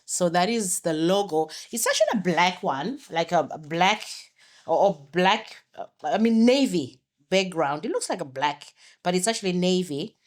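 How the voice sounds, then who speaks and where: Chatty middle-aged woman from Zimbabwe, solo podcast host, lower voice, promotional, self-correcting. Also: very animated, normal speed.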